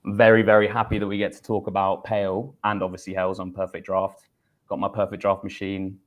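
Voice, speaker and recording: A man's voice: sits low, monotone, a little fast, phone-quality sound. A man joining a live stream over a video call as a guest, friendly and explanatory as he tells a story. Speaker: brewery marketing head, guest.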